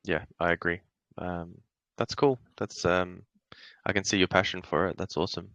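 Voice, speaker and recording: A man's voice: low-pitched, level tone, normal speed, fairly bright tone, no room sound, highs cut off. A man hosting an online AMA, asking questions and encouraging his guests. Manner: encouraging, affirming. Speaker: host.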